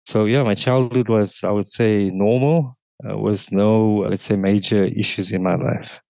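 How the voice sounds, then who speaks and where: South African man, guest, lowish voice, measured and halting, dull dry sound. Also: steady tone, creaky, darkish tone, no echo. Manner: halting, restarts and qualifiers. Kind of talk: casual interview testimony.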